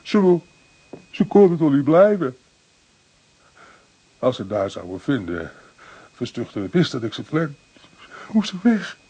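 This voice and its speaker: An actor in a radio play, playing a distraught, guilt-ridden poor painter who stammers, halts and repeats himself. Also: male voice, a little high, very animated, a little slow, long pauses, dark tone, breathy edge, exaggerated voice. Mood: breaking down. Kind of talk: Dutch radio play acting.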